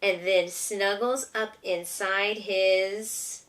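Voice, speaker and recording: A woman's voice: medium pitch, fairly even intonation, drawn-out words, a bit bright. A woman, a homeschooling mother, reading a picture book aloud to her young child with patient, encouraging, simple questions.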